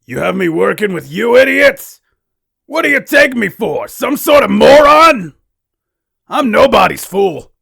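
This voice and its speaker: A man's voice: high voice, big pitch swings, a little slow, creaky, a bit bright, breathy edge, no echo, studio quality, voice pushed up, exaggerated voice. A man voicing a brash, indignant tough-guy vacuum cleaner in an amateur cartoon. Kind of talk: loud amateur animation voice acting.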